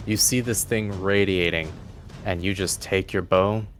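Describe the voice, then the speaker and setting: A man's voice: low voice, steady tone, measured pace, faint music behind. A man narrating a tabletop fantasy game as game master, with dramatic, descriptive storytelling.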